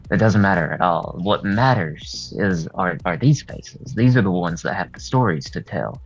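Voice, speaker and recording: A man with a husky voice talking candidly and at rambling length as a guest in a casual interview. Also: low voice, animated, moderate pace. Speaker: horror artist and video creator, interview guest. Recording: dull lo-fi sound, music behind. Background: music.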